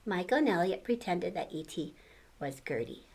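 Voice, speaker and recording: A woman's voice: fairly low pitch, animated, normal speed. An older woman reading a storybook aloud to children, expressively, with exclamations and questions to the listener.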